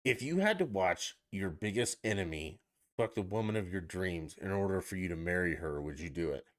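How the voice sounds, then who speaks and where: A man's voice: deep voice, expressive, moderate pace. A man co-hosting a podcast, asking crude questions and bragging in casual banter, a little tipsy. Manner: brash, joking, boastful.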